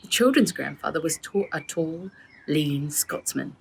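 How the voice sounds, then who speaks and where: A woman, an author, reading her own children's book aloud in an expressive storytelling way. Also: sits low, measured pace, vocal fry, slight background noise.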